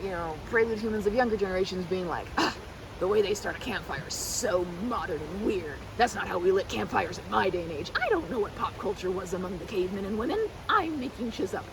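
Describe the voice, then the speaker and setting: A woman's voice: mid-range voice, animated, a little fast, vocal fry, crowd noise, low-quality recording. A woman rambling casually and animatedly like a chatty best friend, slipping into mimicked quotes in a roleplay.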